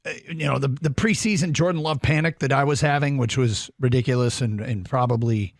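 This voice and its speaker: A man co-hosting a sports radio show, chatting casually and rattling off injury reports briskly. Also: mid-range voice, dry recording, studio quality. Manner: brisk, reads lists quickly. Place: radio studio.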